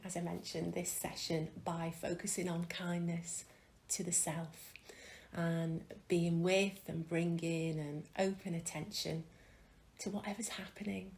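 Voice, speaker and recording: Slow, low-pitched woman guiding meditation. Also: creaky. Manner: calm, gentle, unhurried with pauses. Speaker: mindfulness meditation teacher. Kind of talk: guided meditation. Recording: faint background noise.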